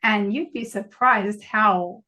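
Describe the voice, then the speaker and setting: A woman's voice: medium pitch, takes it slowly, bright, forceful tone. An American woman, a novelist, talking casually as a guest on a podcast. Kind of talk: casual interview chat.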